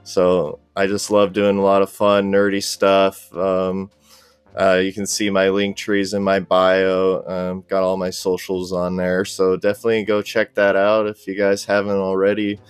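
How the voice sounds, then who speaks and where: A man's voice: low voice, monotone, a little slow, clear, resonant tone, no echo. A man chatting casually as a podcast guest, laid-back and agreeable. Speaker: podcast guest, cosplay content creator. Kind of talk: casual chat.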